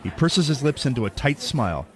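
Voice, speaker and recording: A man reads dramatic narration for an audio drama. His mid-pitched voice moves expressively and builds suspense. Music plays clearly under the words. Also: big pitch swings, a little fast.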